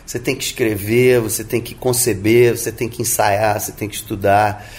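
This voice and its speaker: A man's voice: on the low side, steady tone, normal speed, darkish tone. A man from Rio de Janeiro with a Brazilian Portuguese accent, answering interview questions thoughtfully, with many restarts and tag questions. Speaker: interviewed musician.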